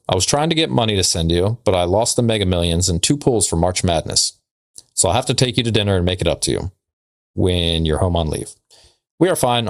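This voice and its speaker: A man co-hosting a casual podcast, bantering and reading letters aloud in a conversational way. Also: lowish voice. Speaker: podcast co-host.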